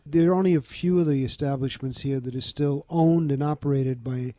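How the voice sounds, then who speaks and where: Older New man, mellow dry voice. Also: medium pitch, no room sound. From the Bronx, New York. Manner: measured, informative, lists details. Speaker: museum founder, guest. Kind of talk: interview conversation.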